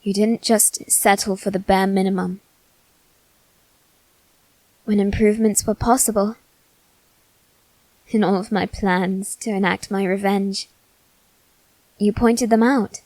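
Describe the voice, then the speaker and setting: A woman plays a proud, self-loathing young nobleman, a boy with a medium-pitched voice in an ASMR character drama. He speaks slowly and haltingly, with formal, theatrical phrasing. The sound is dry and free of room echo. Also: fairly even intonation, darkish tone. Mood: wistful, tender. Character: proud, wounded, self-loathing aristocratic boy facing his demon.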